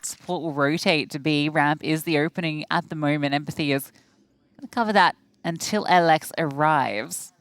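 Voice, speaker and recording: A woman's voice: deep voice, normal speed, clear, resonant tone, dry recording. A woman giving chatty, analytical color commentary on a live esports match. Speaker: esports caster.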